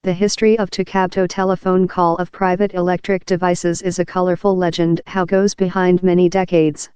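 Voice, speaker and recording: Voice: mid-range voice, little pitch movement, fairly quick, a little mellow, smooth, dry recording, muffled recording. A narrator reading a scripted article aloud in an impersonal, uninflected way.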